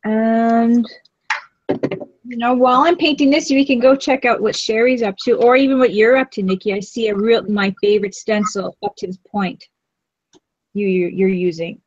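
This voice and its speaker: A woman's voice: a little high, fairly even intonation, normal speed, no room sound, highs cut off. Chatty woman painting on a live stream. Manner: chatty, giggly, self-teasing. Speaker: craft stream co-host. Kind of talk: casual chat while painting.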